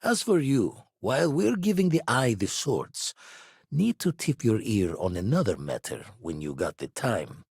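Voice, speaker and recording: A man voicing a weathered, shrewd ex-pirate spacefarer in a video game, guarded but loyal, with a husky voice and theatrical, slangy turns of phrase. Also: mid-range voice, big pitch swings, measured pace, a little mellow, dry recording, clean studio sound, heavily acted. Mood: businesslike. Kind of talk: scripted game character dialogue.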